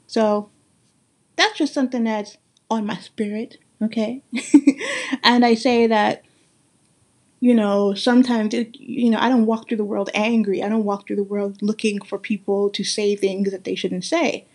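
A woman in her thirties from Guyana with a Guyanese accent, hosting a solo podcast in an earnest, emphatic way, slowing down to stress her points. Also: medium pitch. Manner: earnest and emphatic, with slow deliberate stresses and warm laughs. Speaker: podcast host. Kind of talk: casual solo podcast monologue.

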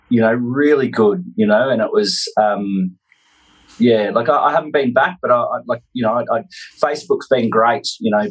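A middle-aged Australian man with a fairly low, rough-edged voice shares casual personal stories. He talks haltingly, circling back on his words, and pokes gentle fun at himself as he goes. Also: low-quality recording. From North Queensland, Australia. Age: 40s. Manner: halting, stop-start, self-deprecating. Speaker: interview guest. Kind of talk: casual anecdotal storytelling.

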